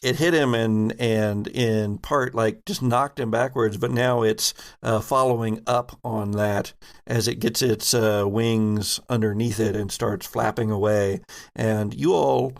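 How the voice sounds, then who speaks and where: Slow, gravelly-edged man as game master. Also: fairly low pitch, steady tone. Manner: deliberate, descriptive storytelling. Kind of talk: improvised narration of a tabletop game.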